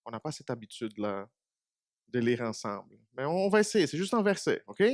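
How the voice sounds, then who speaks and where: A man's voice: medium pitch, sing-song, brisk, no room sound, clean studio sound. A man whose first language is Portuguese, giving a short church meditation in a small congregation and asking them questions. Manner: conversational, asks the congregation questions. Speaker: preacher.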